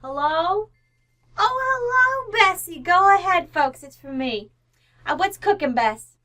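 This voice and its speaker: A woman's voice: very high-pitched, expressive, slow, pushed and bright, heavily acted. A woman acting a brash, nosy, slangy housekeeper in an old-time radio comedy, blunt and overly familiar. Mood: chatty.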